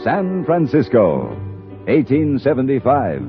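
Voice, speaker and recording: A man's voice: mid-range voice, wildly swooping pitch, takes it slowly. A man announcing an old-time radio show in a declamatory, portentous style. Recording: muffled old radio recording. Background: brass music.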